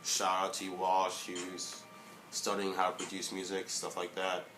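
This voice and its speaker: A young man giving a casual motivational vlog monologue, halting, with many fillers and restarts. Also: deep voice, completely flat delivery, music in the background, low-quality recording. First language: English. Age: young adult. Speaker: vlogger.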